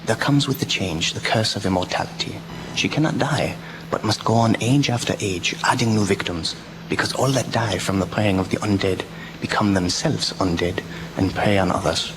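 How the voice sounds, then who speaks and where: A man acts out a grave vampire hunter for a radio play. The professor's voice is low and commanding, his oratory solemn and his phrasing stilted and foreign. Plenty of noise sits on the recording. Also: normal speed, natural voice. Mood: solemn, explanatory. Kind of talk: radio drama acting. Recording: noisy amateur local radio recording.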